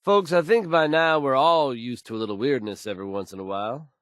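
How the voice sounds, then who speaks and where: An actor playing a confident, smooth male radio news host in a video game, folksy and dramatic in his announcing. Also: medium pitch, a little fast, no echo, studio quality, close to an everyday voice. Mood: showy intrigue.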